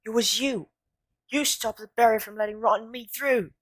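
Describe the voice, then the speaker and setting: A woman's voice: fairly high pitch, moderate pace, fairly bright tone. A woman playing an indignant, direct schoolboy wizard in an audio drama. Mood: shocked, accusing. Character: twelve-year-old schoolboy wizard, indignant. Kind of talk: fan audio drama acting.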